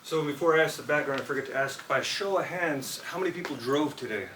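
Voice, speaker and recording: A man from California presents a student project to a live audience, talking straight to them. His voice is bright and a little high. He stands off the mic, with faint noise in the room. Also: quick pace, far from the mic, poor audio.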